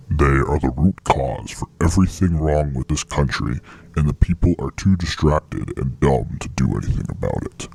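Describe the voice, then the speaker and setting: A man playing a menacing, self-righteous terrorist who delivers an ominous, deliberate scripted threat in a fictional hijacked broadcast. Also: darkish tone, heavily acted. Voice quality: gravelly, husky, very deep. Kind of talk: scripted villain monologue in a fictional emergency broadcast.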